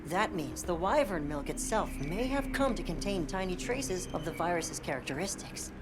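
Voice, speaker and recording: Woman as measured, scholarly game researcher over music. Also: on the low side, animated, natural voice. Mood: thoughtful explaining. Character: thoughtful, curious researcher explaining a theory. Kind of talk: dramatic video game cutscene acting. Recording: music clearly behind the voice.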